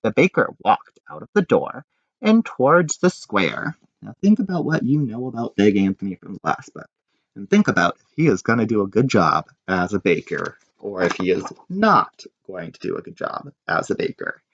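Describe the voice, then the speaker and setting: A man's voice: fairly low pitch, very animated, normal speed. A man reading a picture book aloud to children like a teacher, expressively and with chatty asides. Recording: dull, echoey room recording.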